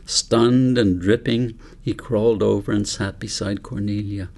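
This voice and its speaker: A man narrating a children's audiobook in a measured, deliberate storytelling manner. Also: lowish voice, steady tone, gravelly, darkish tone. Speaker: author reading own book aloud.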